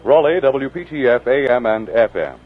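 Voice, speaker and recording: A man calling out a list of scores in a plain, matter-of-fact way at a middle pitch. The sound is thin and narrow, like an old broadcast coming over a phone line. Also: lively intonation, moderate pace, breathy edge. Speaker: station announcer. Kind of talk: reading sports scores on radio. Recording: tinny old radio transcription.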